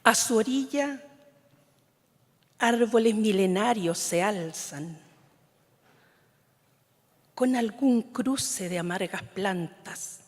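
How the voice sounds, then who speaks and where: A woman poet from Chile whose mother tongue is Mapudungun, reading her poems in a measured, solemn way, often letting lines fade into a whisper. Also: medium pitch. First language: Mapudungun (Huilliche). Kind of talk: slow, solemn poetry reading. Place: large conference hall. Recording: long reverb of a large hall.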